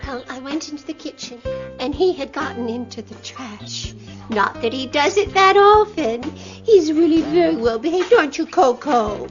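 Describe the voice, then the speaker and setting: A woman's voice: high voice, expressive, normal speed. An actress playing a prim, vain and naive well-dressed lady, fretful and precious as she dotes on her dog. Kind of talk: acted video game cutscene. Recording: muffled game audio, faint music.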